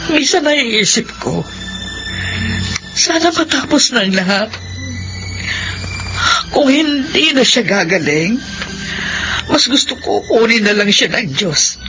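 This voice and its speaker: A woman's voice: on the high side, slow, pauses often, rough recording. Anguished mother in radio drama, swooping voice. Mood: anguished, grieving. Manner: emotional, expressive. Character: anguished, caring mother of an ailing adult son. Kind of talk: Filipino radio drama acting. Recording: radio drama with loud music underneath.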